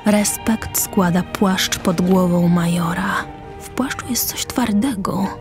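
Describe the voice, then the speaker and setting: A woman narrating a radio drama, reading stage directions in short measured phrases. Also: mid-range voice. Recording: music behind the voice.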